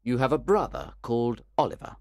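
A man reading an audiobook who voices a calm, stern older gentleman, firm and measured. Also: mid-range voice, no room sound, clean studio sound, pitched above the performer's own voice, lightly acted.

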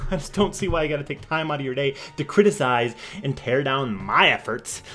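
A young man giving an over-the-top dramatic reading as a smug, defensive forum poster, theatrical and swinging between breathy whispers and shouting. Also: medium pitch, normal speed, faint music behind, voice pushed down, lightly acted. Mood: sulky, dismissive. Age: young adult. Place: small room at home.

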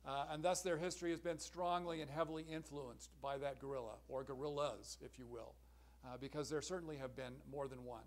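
Earnest man lecturing from a podium. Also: a little high. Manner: measured, earnest, clears throat now and then. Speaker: symposium speaker. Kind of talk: academic conference talk. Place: large lecture hall at a podium. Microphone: podium microphone, far camera.

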